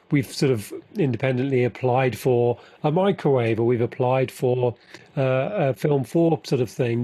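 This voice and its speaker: A man co-hosting a podcast, asking long, halting questions in casual chat. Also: mid-range voice, a little mellow. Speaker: podcast co-host.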